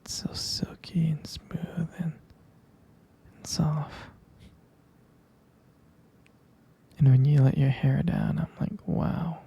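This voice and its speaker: Male actor for tender vampire lover, ASMR sleep-aid roleplay. Intimate whisper, heavy creak, flat soothing delivery, halting and doting. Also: on the high side, full character voice. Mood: dreamy, doting. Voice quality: breathy whisper with gravelly fry. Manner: slow, halting, softly repeating himself.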